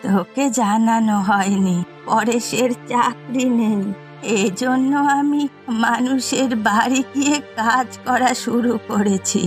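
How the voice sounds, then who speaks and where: A woman's voice: fairly high pitch, fairly even intonation, takes it slowly, soft background music. A woman voicing a weary, complaining village mother burdened by a lazy son, in a fairy-tale cartoon. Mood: weary complaint.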